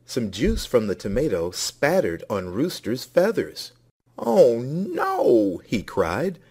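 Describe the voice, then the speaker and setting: A man's voice: fairly low pitch, very animated, a little slow, slightly rough. A man narrating a scripted children's story as a read-along.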